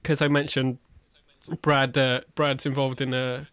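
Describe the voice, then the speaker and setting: A man co-hosting a podcast, relaxed and rambling as he chats. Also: mid-range voice, a little slow, clear, resonant tone, no room sound, studio quality. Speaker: podcast co-host. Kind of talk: casual chat.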